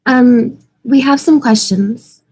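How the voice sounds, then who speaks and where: An earnest young woman, acted by a woman in an amateur table read. She is polite and composed, with a fairly high voice. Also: slow pace, darkish tone, husky, poor audio.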